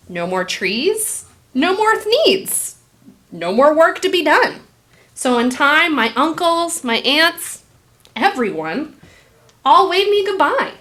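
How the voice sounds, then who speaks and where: High, animated greedy tycoon played by a woman. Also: full character voice. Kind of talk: children's puppet show storytelling.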